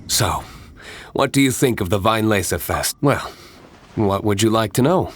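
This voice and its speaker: A man voicing a composed, serious young nobleman winemaker in a video game, with a measured, formal, restrained delivery. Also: lowish voice, animated, background noise. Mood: politely reserved. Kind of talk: video game character dialogue. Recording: studio voice over game music.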